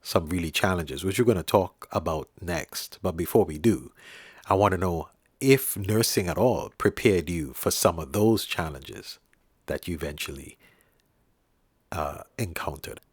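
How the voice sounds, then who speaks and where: A man hosting an interview podcast, asking measured questions and affirming the guest with murmurs. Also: low voice, breathy edge, no echo, clean studio sound. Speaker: podcast host.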